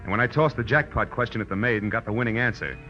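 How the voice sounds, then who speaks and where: An actor playing a tough, wry private eye in a 1940s radio crime drama, speaking in clipped slang on an old recording. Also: male voice, fairly low pitch, fast talker, dull audio, soft background music, natural voice. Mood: grim narration. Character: hard-boiled male private eye, wry, tough and insistent. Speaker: detective and first-person narrator.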